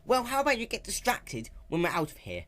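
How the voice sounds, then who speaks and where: A woman voicing a brash, cocky tomboy pegasus pony in an excitable, slangy way for a dramatic fan-fiction reading. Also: medium pitch, fairly quick, pitched above the performer's own voice. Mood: annoyed.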